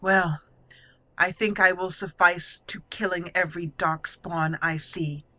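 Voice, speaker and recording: A woman's voice: lowish voice, fairly even intonation, measured pace, sharp tone, dry recording. A woman hosting a gaming channel, giving role-play commentary and speaking lines in character.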